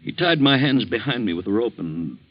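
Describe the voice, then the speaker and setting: A man acting an anxious, doom-haunted middle-aged father in a 1940s radio drama, troubled and halting. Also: mid-range voice, sing-song. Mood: haunted, fearful. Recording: dull 1940s radio recording.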